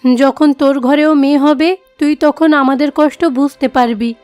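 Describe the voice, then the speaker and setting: A woman's voice: high-pitched, steady tone, normal speed, a little mellow, faint music behind, close to an everyday voice. A woman playing a caring, cautious mother in a cartoon story, placating and explaining.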